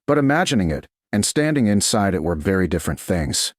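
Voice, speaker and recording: A man narrating a romantic story as an audiobook, with dramatic, deliberate short phrases. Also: on the low side, very animated, no room sound, clean studio sound.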